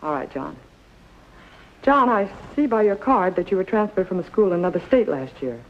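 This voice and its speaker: A muffled old TV soundtrack carries a woman schoolteacher. She is formal and courteous, addressing pupils in measured sentences in the manner of fifties drama. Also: medium pitch, sing-song. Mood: formal, patient. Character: polite, formal schoolteacher addressing her class. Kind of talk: 1950s American television drama acting. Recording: dull lo-fi 1950s television soundtrack.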